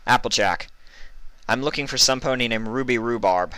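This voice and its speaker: A man's voice: medium pitch, moderate pace, sharp tone, clear, resonant tone, voice pushed up, natural voice. A man voicing a fierce, emotional female pony fighter with an urgent, dramatic delivery in a story reading. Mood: brisk.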